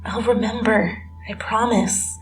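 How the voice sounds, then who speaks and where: A woman's voice: fairly high pitch, fairly even intonation, unhurried, voice pushed up, low-quality recording. A woman voicing a teasing, childlike joker of a teenage best friend in a dramatic fan fiction reading over music. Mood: sheepish. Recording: music behind the voice.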